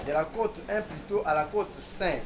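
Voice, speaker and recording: A man with a slightly high voice addresses a court as prosecutor. He lists evidence in a formal, deliberate, emphatic way. The sound is echoey and picked up from a distance in the courtroom. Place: large indoor courtroom. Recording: echoey courtroom, distant mic, faint noise.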